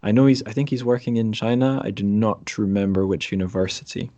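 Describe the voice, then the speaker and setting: A man's voice: fairly low pitch, fairly quick, warm tone, dry recording, dull audio. A man hosting a podcast interview in a casual, tentative, informal way.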